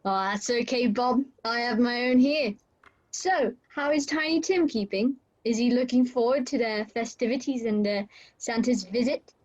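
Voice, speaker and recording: Woman playing a kindly young nephew, high-voiced. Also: moderate pace, a little mellow, natural voice. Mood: cheerful. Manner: polite, friendly. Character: cheerful, kindly young nephew of the old miser. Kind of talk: radio play acting. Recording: dull, lo-fi video call.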